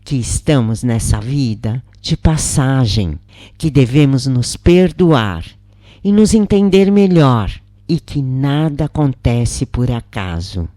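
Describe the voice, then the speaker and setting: Woman, devotional reader, slow, soft and warm, dry close sound. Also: a bass voice, expressive, dry recording. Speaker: reader of channeled spirit messages. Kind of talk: slow devotional first-person reading.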